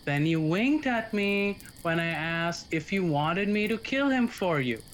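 A man's voice: high-pitched, expressive, measured pace, a little hiss or hum. A man playing a whiny, panicky young accomplice. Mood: pleading. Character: bumbling lovesick young man, stagehand turned accomplice. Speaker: actor. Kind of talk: student radio comedy acting.

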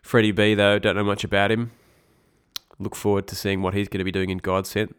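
A man's voice: lowish voice, flat delivery, fairly quick, fairly bright tone, clean tone. A young man hosting a casual podcast, congested with a cold, rambling and correcting himself with wry asides. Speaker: podcast host. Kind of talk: casual esports news podcast.

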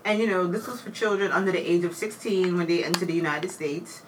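A woman co-hosting a casual chat, reading out facts and figures in a matter-of-fact, steady way. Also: on the low side, a little fast, slight background noise. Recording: distant mic with faint room ambience.

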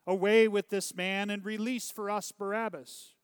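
A man voicing an angry, accusing mob of priests and townsmen in a scripture story, crying out forcefully. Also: on the high side, smooth, no echo, clean studio sound. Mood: demanding. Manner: loud, forceful, drawn-out crying out.

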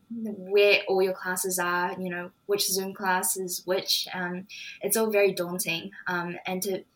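A young woman law student speaking as a podcast guest, thoughtful and unhurried with frequent ums. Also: fairly low pitch, fairly bright tone, rough recording. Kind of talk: reflective podcast conversation.

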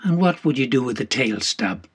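A man voicing a sceptical, needling sailor in an audiobook, husky and gravelly, with blunt, probing speech. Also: mid-range voice, sing-song, normal speed. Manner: blunt, probing, colloquial questions. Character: sceptical, needling male whaling mate quizzing his shipmate.

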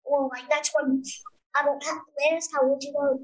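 A woman's voice: sits high, level tone, brisk, rough recording. A woman in her late twenties hosting a casual interview, curious and agreeable, asking questions. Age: 20s. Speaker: host.

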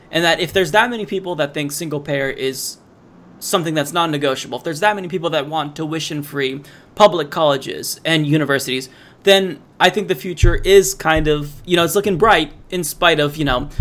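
Hoarse young man hosting a podcast. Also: on the high side, fairly bright tone. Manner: earnest, rambling, laid-back. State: hoarse, losing his voice. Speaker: podcast host. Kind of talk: casual political interview.

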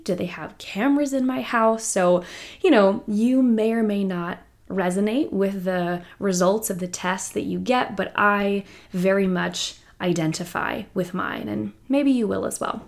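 Chatty woman hosting a podcast. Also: medium pitch. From southern Virginia. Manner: chatty, laughs often. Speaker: podcast host. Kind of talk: casual podcast chat.

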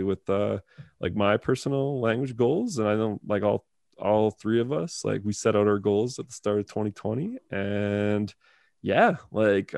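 A man's voice: on the low side, vocal fry, darkish tone, dry recording, studio quality. A man in his thirties hosting a casual podcast, rambling with lots of fillers and easy laughs. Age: 30s. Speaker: podcast host.

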